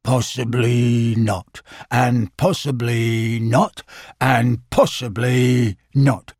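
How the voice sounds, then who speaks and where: Male reader doing a glum elderly donkey, very slow, low and sighing; dry close sound. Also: little pitch movement, darkish tone, no echo. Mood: mournful. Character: gloomy old male donkey, slow and mournful.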